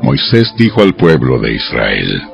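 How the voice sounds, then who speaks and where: A man narrating dramatized scripture from a script in a measured storytelling style. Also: rumbling low, huge pitch swings, vocal fry, highs cut off. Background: music.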